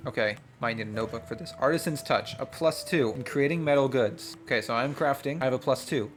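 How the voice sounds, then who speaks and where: A man playing a tabletop game, reading narration aloud and thinking out loud in excitable, slangy asides. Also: mid-range voice, a little fast, a little hiss or hum. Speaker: player and host of a gaming channel. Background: music.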